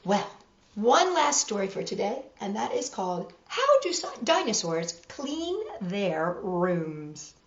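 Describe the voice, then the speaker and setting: A woman's voice: mid-range voice, sing-song, takes it slowly, reverberant, muffled recording. A woman hosting a children's storytime, reading aloud and chatting directly to young listeners.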